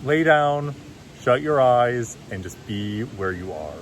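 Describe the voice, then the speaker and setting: A man with a deep voice giving relaxed, encouraging meditation advice in a casual vlog. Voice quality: deep, low voice. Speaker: vlogger and meditation coach. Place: outdoors at a loud waterfall. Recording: outdoor recording with loud waterfall noise. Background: rushing waterfall.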